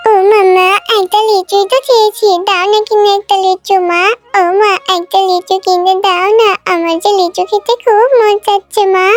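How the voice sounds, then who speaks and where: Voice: very high-pitched, fairly even intonation, moderate pace, soft background music. A performer voicing an insistent, pleading little girl in a children's cartoon, wheedling and repeating herself. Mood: eager begging. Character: pleading, insistent little girl begging her mother for treats.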